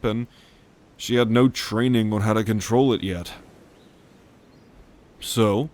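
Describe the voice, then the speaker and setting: A man playing a world-weary, blunt dragon brother in a roleplay audio drama, telling things in a wry way. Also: fairly low pitch. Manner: wry, unhurried storytelling with cursing.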